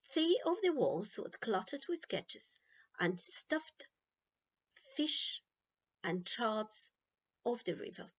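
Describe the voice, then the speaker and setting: Slow, expressive woman storybook reader. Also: on the high side, highs cut off. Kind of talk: expressive children's storybook narration. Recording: clear background noise.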